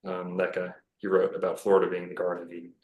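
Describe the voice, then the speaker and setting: A man's voice: low voice, monotone, fast, a little mellow, reverberant, lo-fi sound. A man talking casually as a podcast guest, rambling and self-assured with many fillers and restarts. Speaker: podcast guest, bookstore owner.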